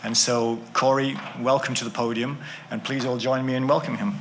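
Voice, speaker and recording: A man's voice: medium pitch, flat delivery, fairly quick, creaky, heavy background noise. A man introducing a speaker at a conference, reading informally from his notes. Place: conference hall.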